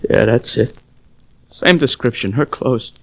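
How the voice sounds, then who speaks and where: A man's voice: medium pitch, unhurried, gravelly, fairly bright tone. An American man acting a frightened, desperate young ex-GI husband in a 1940s radio drama, anguished and plain-spoken. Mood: grieving, dejected.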